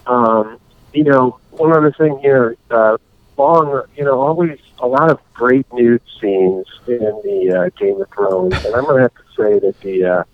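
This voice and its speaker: Male podcast host, mid-pitch, rambling casual recap, dry and slightly dull lo-fi sound. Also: no echo. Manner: rambling, acts out scenes in quick put-on voices.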